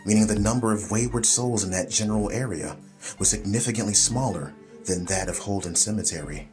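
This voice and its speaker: A man narrating a scripted audiobook story in a measured, deliberate, dramatic storytelling style. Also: lowish voice, over music.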